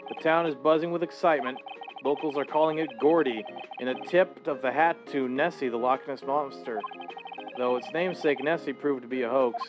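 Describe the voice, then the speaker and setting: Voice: medium pitch, brisk, close to an everyday voice. A performer doing a TV news anchor. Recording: slightly dull lo-fi with music.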